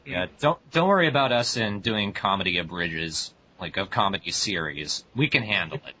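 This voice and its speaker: A man's voice: low voice, animated, moderate pace, sharp tone. A young man co-hosting a casual podcast, laid-back and dry, often laughing along. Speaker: podcast co-host. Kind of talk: casual chat. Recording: dull lo-fi recording, faint noise.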